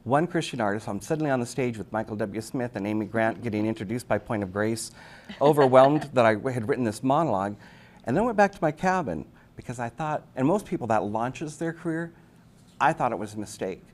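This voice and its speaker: A man telling anecdotes as an interview guest, chatty, animated and self-deprecating. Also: medium pitch, fairly quick. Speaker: interview guest, actor and writer. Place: busy convention event room. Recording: clearly noisy live event room recording.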